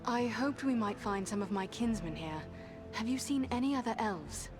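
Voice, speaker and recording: A woman's voice: fairly high pitch, normal speed, over music, close to an everyday voice. A woman playing a composed, courteous and determined elf adventurer in a fantasy game, speaking formally. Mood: composed, inquiring. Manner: formal, poised. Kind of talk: fantasy game cutscene acting.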